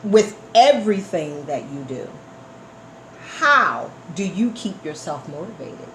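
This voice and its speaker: A woman's voice: lowish voice, sing-song, slow. A woman hosting a radio show in casual, effusive chat, speaking familiarly to listeners and repeating phrases for emphasis. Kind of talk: casual live interview chat. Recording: echoey room with background noise.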